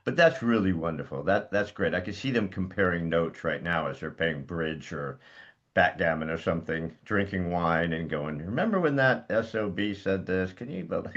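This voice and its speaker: Deep, lively joking male host. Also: moderate pace. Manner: joking, teasing, laughs often. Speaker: podcast host. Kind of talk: casual interview chat. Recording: dull lo-fi remote audio.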